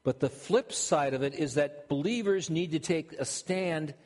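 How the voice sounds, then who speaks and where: A man's voice: a little high, a little slow, darkish tone. An older man from Minnesota with a Minnesotan accent, preaching a sermon in a conversational way with frequent restarts. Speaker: pastor. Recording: long hall reverb.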